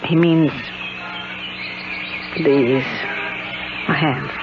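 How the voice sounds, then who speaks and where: Gentle, guarded woman, noisy radio recording. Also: low voice, steady tone, drawn-out words, heavily acted. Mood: gentle, sympathetic. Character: gentle, guarded woman whose hands are paralyzed. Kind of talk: 1970s radio drama acting. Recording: noisy, dull vintage radio broadcast.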